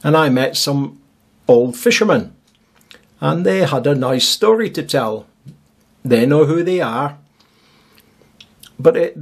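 A man narrating his own seaside video in a casual, chatty way with wry asides. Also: on the high side. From Scotland. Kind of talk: casual voice-over commentary.

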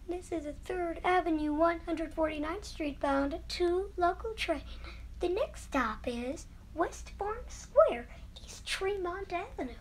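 Female transit announcer, very high voice, measured scripted stop calls, noise behind. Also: poor audio. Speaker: subway train announcer.

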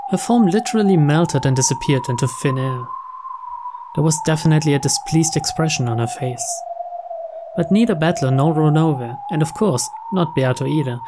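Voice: medium pitch, noisy background. A narrator reading visual novel narration aloud.

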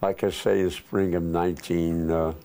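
An older man telling war memories in a casual interview, unhurried and rambling with many fillers, recorded on a lapel mic in a living room. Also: deep voice, little pitch movement, warm tone. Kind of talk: casual oral history interview. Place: living room, seated on couch.

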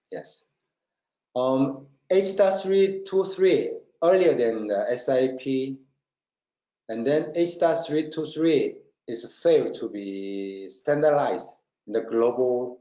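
A man presenting a technical webinar in halting non-native English, checking often that listeners follow. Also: medium pitch, warm tone. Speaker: webinar presenter, company marketing trainer. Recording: roomy, dull lo-fi webinar audio.

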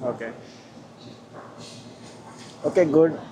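A man's voice: a little high, extremely slow. Chatty man vlogging in a noisy mall. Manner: chatty, laughs often, asks questions. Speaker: vlog host. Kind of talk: casual travel vlog chat. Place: busy shopping mall.

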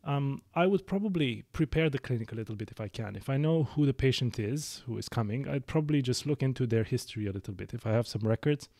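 A man in his thirties with a Romanian accent, hosting a medical podcast and explaining his clinical practice in a measured way, with frequent fillers and self-corrections. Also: mid-range voice, animated, warm tone, no echo, clean studio sound. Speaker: lung specialist physician, podcast host. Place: small dark studio. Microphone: studio mic on boom arm.

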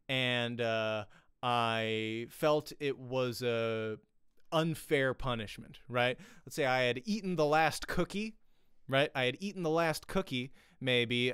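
Man, informal acting teacher, conversational, very expressive pitch, dry close-mic sound. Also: smooth, no room sound. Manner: animated, conversational, often checking in with "right?". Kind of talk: informal online acting lesson. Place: small home room, indoors. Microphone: close desk microphone, headset on.

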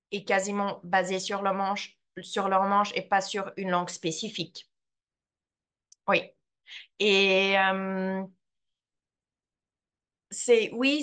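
A woman presenter answering questions in an online talk, slow and careful, searching for words. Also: medium pitch, fairly bright tone. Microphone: computer mic on a video call.

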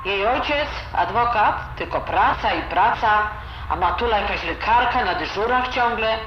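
Woman acting an old Silesian grandmother, blunt, fussing, grumbly, audio drama, dull sound, clearly noisy background. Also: medium pitch, animated, moderate pace, natural voice. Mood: knowing, gossipy. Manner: grumbling, colloquial, full of dialect words. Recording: roomy, dull, noisy home recording.

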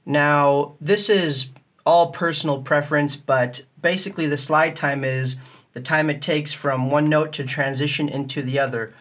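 Man calmly walking through a tutorial. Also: on the high side, normal speed. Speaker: music tutorial host. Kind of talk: casual step-by-step tutorial narration.

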